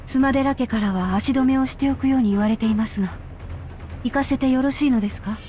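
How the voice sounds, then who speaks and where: An actress playing a polite, discreet secretary in a visual novel, speaking formally and deferentially. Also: female voice, high-pitched, racing, dark tone, faint music behind, close to an everyday voice. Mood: discreet concern. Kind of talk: Japanese visual novel voice acting.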